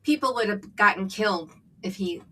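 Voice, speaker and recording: A middle-aged woman co-hosting a casual Bible discussion, chatty and quick to jump in with comments. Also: medium pitch, pushed and bright, a little hiss or hum. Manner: chatty, laughs easily, jumps in with comments. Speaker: co-host. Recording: distant mic.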